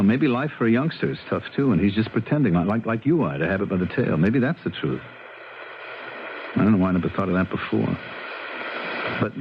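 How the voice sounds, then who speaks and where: A man playing an earnest, troubled police officer, ruminating haltingly and repeating himself in a 1970s radio drama. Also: mid-range voice, close to an everyday voice. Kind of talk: 1970s radio drama acting, conversational monologue. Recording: muffled, slightly noisy old radio broadcast.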